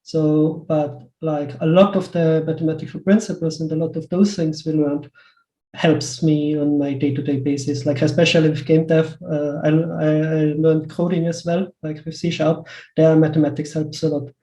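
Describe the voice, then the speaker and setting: A man's voice: on the high side, flat delivery, moderate pace, dark tone. A man with an Austrian accent talking casually as an interview guest, circling back over words and peppering his sentences with asides. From Western Austria. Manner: hesitant, looping delivery with repeated words and many "like" asides. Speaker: interview guest, pixel artist. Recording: roomy, echoey sound.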